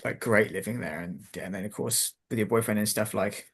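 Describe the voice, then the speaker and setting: A man's voice: lowish voice, brisk, slightly rough. A man, hosting a casual interview and chatting in a rambling way, full of "like" and "um". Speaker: interview host.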